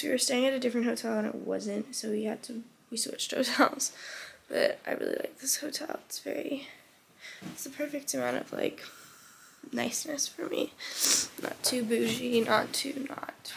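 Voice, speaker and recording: A woman's voice: high voice, gravelly. A young woman vlogging casually to camera, stuffed up with a cold and drowsy, rambling informally in a hotel room. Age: young adult. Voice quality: stuffy, congested nose. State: stuffed up with a cold, just woke up. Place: small tiled hotel bathroom and hotel room. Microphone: camera at arm's length or propped nearby. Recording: noisy camera audio with background ambience.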